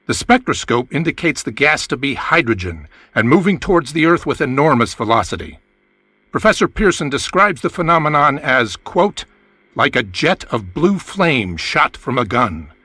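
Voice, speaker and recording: An urgent male news announcer delivers bulletins in formal old-time radio style. His voice is forceful and animated, recorded close and dry. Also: mid-range voice, dry recording. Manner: crisp, formal, emphatic.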